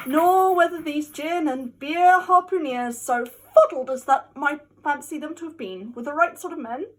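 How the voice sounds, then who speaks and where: A woman giving a theatrical, mock-scholarly dramatic reading of a classic novel, with emphatic delivery and a husky voice. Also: high voice, normal speed, poor audio. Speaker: amateur reader.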